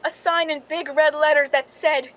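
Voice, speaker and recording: Woman acting high-voiced, calculating radio noir dame. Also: fairly even intonation, normal speed, fairly bright tone. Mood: ominous. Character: cool, calculating young Hollywood stand-in narrating her own story. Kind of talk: 1940s-style suspense radio drama acting. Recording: noisy recording with low rumble.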